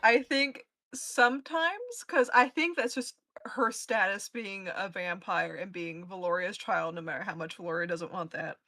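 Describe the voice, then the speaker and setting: Female panelist, candid and informal, mid-pitched bright voice, very dry recording. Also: normal speed, hardly any pauses, no echo. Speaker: panelist, podcast crew member who edits episodes. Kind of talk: casual panel chat.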